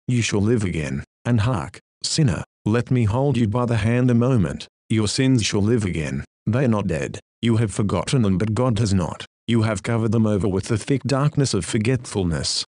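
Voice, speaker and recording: A man's voice: fairly low pitch, darkish tone, dry recording. Fervent male preacher, dry close sound. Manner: fervent, solemn exhortation. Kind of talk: reading a Victorian-style sermon aloud.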